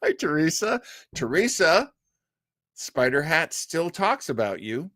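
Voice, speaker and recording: A man's voice: fairly high pitch, sing-song, takes it slowly, a bit bright, no room sound, clean studio sound. A man hosting a casual live online show, talking to viewers in a chatty, jokey manner. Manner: chatty and jokey, often chuckling. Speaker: actor. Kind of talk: casual livestream chat to viewers.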